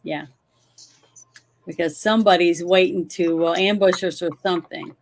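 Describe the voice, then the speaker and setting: A woman's voice: fairly low pitch, steady tone, normal speed, gravelly, a little hiss or hum. A woman playing a tabletop game, talking casually through what her character wants to do.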